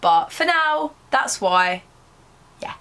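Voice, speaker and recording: She is a young British woman with a fairly high voice. It has a slight croak from a cold she is getting over. She talks in a casual, chatty way, like a vlogger sitting down to address the viewers. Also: very animated, slow pace, pushed and bright. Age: young adult. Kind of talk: casual chatty sit-down talk to camera.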